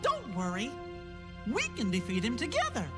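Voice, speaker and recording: An actor voicing a small, loyal, plucky cartoon king in a video game, with a high squeaky voice and an eager, earnest manner. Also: wildly swooping pitch, normal speed. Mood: encouraging. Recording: long reverb, faint game music.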